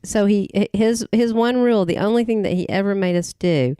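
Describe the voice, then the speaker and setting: A middle-aged woman from Tennessee, a podcast guest recalling her family in casual conversation, halting often and restarting her sentences. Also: mid-range voice, warm tone, clean tone, no echo, clean studio sound. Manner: rambling, halting with many restarts and fillers.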